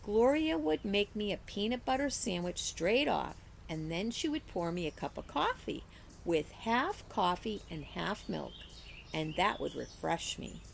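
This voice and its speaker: A woman reads a children's novel aloud in an expressive, teacherly style. Her pitch moves in lively swings and often settles into a creaky edge. Faint noise sits behind the voice. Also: unhurried. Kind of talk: expressive children's story reading.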